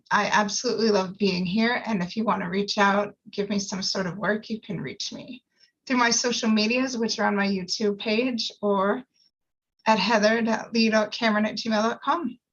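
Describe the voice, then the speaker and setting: A woman's voice: mid-range voice, fairly even intonation, a little slow, fairly bright tone. A woman introducing herself as an actor over a video call. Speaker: actor and writer. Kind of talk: self-introduction. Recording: dull lo-fi video call audio.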